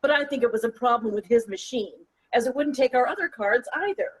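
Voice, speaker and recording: A woman's voice: a little high, lively intonation, a little fast, low-quality recording. A woman playing a prim, skeptical mother of a grown son, with clipped delivery in a play reading. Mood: coolly polite. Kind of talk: virtual table read of a stage comedy-drama.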